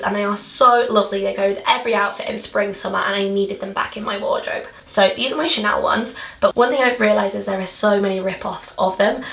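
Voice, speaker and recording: A young woman with a husky voice, chatting to camera about fashion in a bubbly way. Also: mid-range voice, fairly bright tone, roomy sound. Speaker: fashion vlogger. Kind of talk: casual chat to camera.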